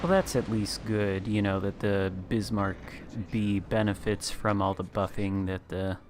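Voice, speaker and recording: A man chatting casually as a guest while playing a naval battle game, talking in a smooth late-night radio voice. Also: fairly low pitch, fairly even intonation, unhurried, noisy background. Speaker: guest content creator. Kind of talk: casual gameplay chat.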